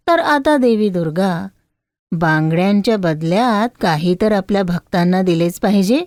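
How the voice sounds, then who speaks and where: A woman's voice: mid-range voice, darkish tone, no room sound, clean studio sound. Woman voicing a wise, kindly male god. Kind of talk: storybook character voice.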